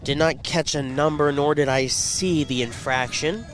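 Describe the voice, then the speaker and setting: A man calling high school football play-by-play for radio, congested from a cold and picking up energy during plays. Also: a little slow, faint music behind. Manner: rising excitement on plays. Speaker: play-by-play announcer. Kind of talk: radio sports commentary.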